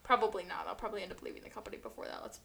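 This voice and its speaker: A woman's voice: medium pitch, racing, vocal fry, a bit bright. A young woman from New Jersey co-hosting a podcast in casual chat, rambling and self-mocking and laughing often as she talks. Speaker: podcast co-host.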